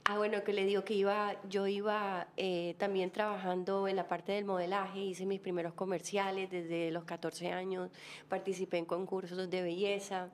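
Colombian coast woman, 40s, lively storyteller guest, light background hiss. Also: medium pitch, moderate pace. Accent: Colombian Caribbean coast. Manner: chatty, laughs often, storytelling. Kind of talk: casual interview.

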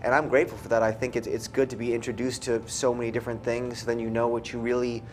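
A man's voice: mid-range voice, flat delivery, fast, slight background noise. A man from the United States speaking casually as an interview guest, easygoing and laid-back. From United States, after early childhood abroad. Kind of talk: casual chat.

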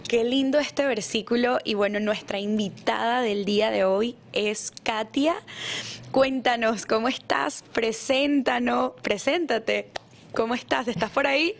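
Gentle woman hosting a faith podcast. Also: on the high side, measured pace, gravelly. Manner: gentle, affirming with frequent amens. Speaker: podcast host. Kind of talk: Bible reading and interview hosting.

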